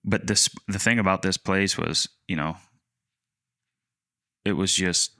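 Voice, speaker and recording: A man around thirty co-hosting a podcast, telling a personal story in a casual, halting, rambling way. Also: low-pitched, steady tone, pushed and bright, no room sound, studio quality. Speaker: podcast co-host, land agent.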